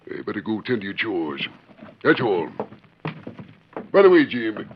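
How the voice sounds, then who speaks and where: Stern, animated ranch patriarch. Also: male voice, fairly low pitch, measured pace. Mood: stern, fatherly. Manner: firm, commanding, plain-spoken. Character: stern, fair-minded older ranch owner and father. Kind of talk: 1940s radio western acting. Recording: muffled, noisy old 1940s radio recording.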